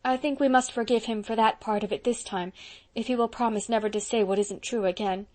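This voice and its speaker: A gentle young schoolteacher from a storybook, speaking softly and earnestly, voiced by a woman reader. Her tone is quiet and a little lower in volume than the narration. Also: fairly even intonation, brisk, natural voice. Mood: sorrowful. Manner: soft, sorrowful, earnest.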